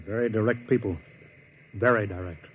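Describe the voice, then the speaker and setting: A man's voice: on the low side, steady tone, moderate pace, lo-fi sound, natural voice. An actor playing a stern male starship captain in a 1950s radio drama, giving clipped, authoritative orders. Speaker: ship's commander. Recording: old radio broadcast with faint hiss.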